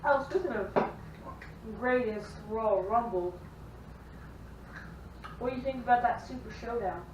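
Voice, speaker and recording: A young woman chats casually in a fairly high voice. The room is echoey and the sound a little dull, as in a homemade vlog. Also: a little slow, a little mellow. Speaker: vlog host. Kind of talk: casual chat. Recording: echoey room, distant muffled mic, faint noise.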